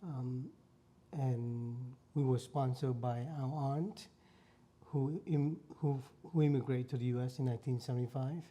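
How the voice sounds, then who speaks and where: Vietnamese-accented male guest in his fifties, deep mellow tone, earnest storyteller. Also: fairly even intonation, a little slow. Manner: earnest, repeats phrases while recalling. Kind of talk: casual conversation.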